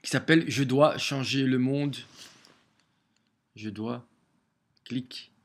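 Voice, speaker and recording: A middle-aged man with a head cold talks slowly to his camera from a room at home. He stumbles and repeats words often, his voice sitting at an ordinary pitch. The delivery is unhurried and loose, like thinking out loud. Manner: halting, many repeated words and restarts. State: has a cold. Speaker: vlogger sharing daily messages. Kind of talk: casual home video monologue. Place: small room at home, facing a window.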